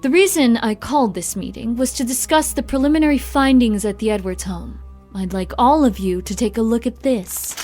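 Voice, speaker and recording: A composed woman in charge of an investigation team played by an actress, speaking matter-of-factly. Her voice sits fairly high, with faint music beneath it. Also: moderate pace, darkish tone, natural voice. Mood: businesslike. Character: businesslike woman leading a paranormal investigation team. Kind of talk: audio drama acting.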